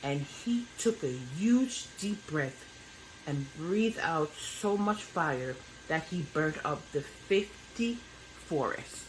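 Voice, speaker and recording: A woman reading a children's bedtime story aloud as an expressive narrator. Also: low-pitched, slow, a little hiss or hum, rough recording.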